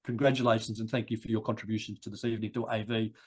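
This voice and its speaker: A man giving a rambling thank-you speech for a society, his voice croaky from a chest cold. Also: fairly low pitch, little pitch movement, rapid-fire. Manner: rambling, many restarts and repeated words.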